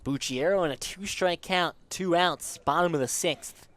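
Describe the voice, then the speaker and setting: A man's voice: on the high side, moderate pace, a bit bright. A man calling live baseball play-by-play as a sports commentator.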